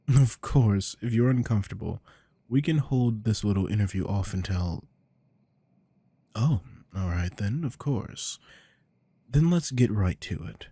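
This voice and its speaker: A man acts out a dominant, possessive boss in an ASMR roleplay. His voice is very low and gravelly, husky at the edges. He coaxes and commands in a smooth, teasing murmur that slips toward whispers. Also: mellow, no echo, heavily acted. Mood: coaxing, commanding. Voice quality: deep, gravelly. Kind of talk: intimate ASMR roleplay to the listener.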